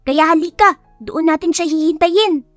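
Woman doing little kid voice for audiobook story, high pitched n eager, bit cheeky, music under. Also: moderate pace, no room sound. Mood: curious, scheming. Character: eager, mischievous young child, one of two siblings. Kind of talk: dramatized audiobook dialogue.

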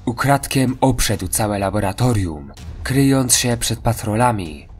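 A man's voice: medium pitch, animated, unhurried, soft background music. A man reading scripted narration for a horror audio drama.

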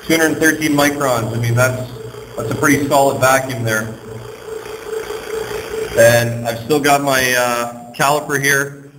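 A man working as an HVAC technician, casually walking through a hands-on test with a relaxed, plain-spoken manner and frequent ums. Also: medium pitch. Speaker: HVAC technician and channel host. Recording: reverberant space with faint noise.

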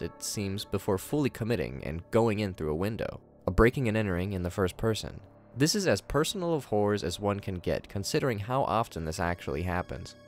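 A young man reading his scripted commentary on a horror video series, in a measured way. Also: on the low side. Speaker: video reviewer.

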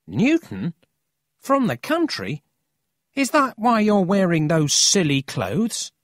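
A cheeky, brash city boy from a storybook, played by an adult reader. His voice is pitched high and swoops about with eager, teasing energy, recorded dry and close. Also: wildly swooping pitch, unhurried, dry recording. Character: cheeky, excitable, friendly city boy with a horn.

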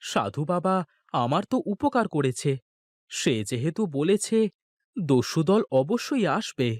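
A man voicing a humble, excitable village boatman in a fairy-tale cartoon, earnest and expressive. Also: on the high side, measured pace, dry recording, studio quality. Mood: anxious.

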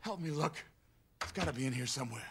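An actor playing a volatile, desperate movie star in an animated series, with rough, snappish tough-guy talk. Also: medium pitch, quick pace, exaggerated voice. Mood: panicked. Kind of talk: dramatic animated series acting. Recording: loud music under the dialogue.

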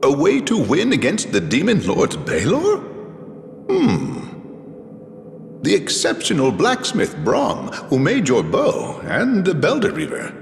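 A man's voice: fairly high pitch, wildly swooping pitch, slow pace, creaky, reverberant, long reverb tail, a little hiss or hum, full character voice. A man voicing a fussy, anxious little gnome advisor in a fantasy video game. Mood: pondering.

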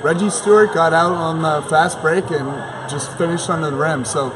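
A man's voice: a little high, normal speed, crowd noise. A young man giving postgame basketball commentary as a panelist on a sports show. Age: young adult. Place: large indoor basketball gym. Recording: noisy gym ambience behind voice.

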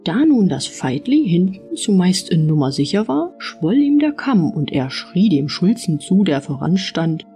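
A woman narrating an audiobook fairy tale in an expressive storytelling style. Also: on the low side, unhurried, hardly any pauses, faint music behind.

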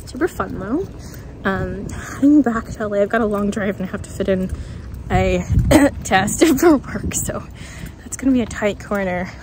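A young woman with a hoarse voice from a cold, chatting tiredly to her phone in a parked car after a gig. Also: medium pitch, lively intonation, background noise. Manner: chatty, tired, quick asides with small laughs. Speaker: vlogger and working actor. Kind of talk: casual vlog chat to camera. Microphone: phone held at arm's length.

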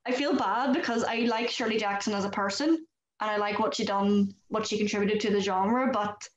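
A woman's voice: mid-range voice, normal speed. Roomy-sounding Northern Irish woman host. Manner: animated, self-deprecating storyteller. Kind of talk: casual video call chat. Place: home room indoors. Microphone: laptop webcam on video call. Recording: roomy webcam audio.

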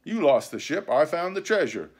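A man's voice: on the high side, sing-song, moderate pace, voice pushed up. Man acting a blustering, wily old pirate sea cook. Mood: indignant. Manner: scornful, rustic seafaring dialect.

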